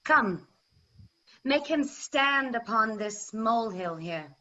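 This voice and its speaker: Actress as vengeful queen doing shakespeare, slow n mocking, muffled video call audio. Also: on the high side, expressive, pushed and bright, full character voice. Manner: taunting, declamatory, deliberate. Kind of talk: staged reading of Shakespearean verse.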